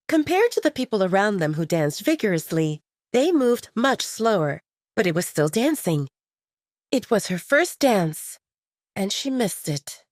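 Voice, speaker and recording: Expressive woman narrator, animated pitch. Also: no room sound, clean studio sound. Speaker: audiobook narrator. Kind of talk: dramatic scripted romance narration.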